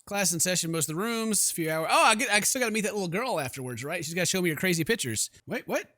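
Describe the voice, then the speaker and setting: A man hosting a gaming video, reading game text aloud and commenting in a sarcastic, animated way on a close mic. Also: sits high, fast talker, no room sound. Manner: sarcastic, animated when incredulous.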